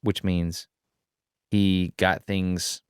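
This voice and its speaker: Low, smooth-voiced man asking curious questions. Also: flat delivery, drawn-out words, no echo, clean studio sound. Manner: curious, playful follow-up questions. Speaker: podcast co-host. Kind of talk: casual podcast chat.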